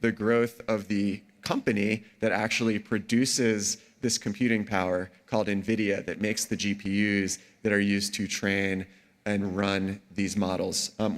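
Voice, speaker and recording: A man with a cold giving an energetic conference talk, with frequent fillers and restarts. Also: on the low side. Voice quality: a bit congested. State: under the weather, has a cold. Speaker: conference speaker.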